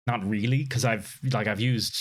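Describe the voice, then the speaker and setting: A man's voice: fairly low pitch, steady tone, moderate pace. A man streaming a game live, chatting casually, swearing freely and laughing often.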